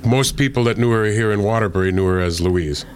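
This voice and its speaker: A man from Connecticut, an author being interviewed, speaking in a measured, thoughtful way with frequent filler pauses. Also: on the low side, a little hiss or hum. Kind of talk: relaxed interview conversation.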